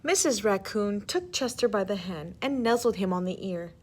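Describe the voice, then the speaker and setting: A woman teacher reading a children's story aloud in an expressive, instructive way and asking pupils questions. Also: medium pitch, moderate pace, a little hiss or hum.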